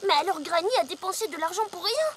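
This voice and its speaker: A woman's voice: very high voice, fast talker. A woman voicing a young, wide-eyed, inquisitive farm pony filly in a French-dubbed children's cartoon. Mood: amazed, puzzled. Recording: loud music under the voice.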